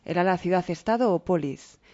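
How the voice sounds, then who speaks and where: A narrator reading a scripted documentary in an even, measured way. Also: medium pitch, lo-fi sound.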